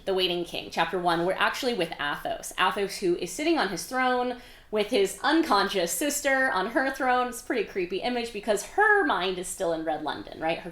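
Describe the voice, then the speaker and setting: A woman with a medium-pitched voice retells a story in a casual, conversational way. She is wry and leans into key words for dramatic effect. The room adds an audible echo around her voice. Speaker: author hosting a readalong of own novel. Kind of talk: casual, animated book recap.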